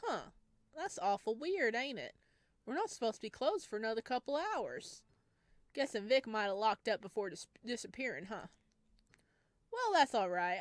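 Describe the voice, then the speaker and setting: A woman's voice: on the high side, dry recording. Woman doing a folksy hulking butcher man. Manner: folksy drawl, calls you honey. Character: big intimidating male butcher, folksy.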